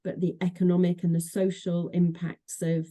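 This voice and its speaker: Dark-voiced Mancunian woman. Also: fairly low pitch, little pitch movement, moderate pace. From Manchester, England. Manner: chatty, many fillers and restarts. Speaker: association executive director, guest. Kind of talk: podcast interview.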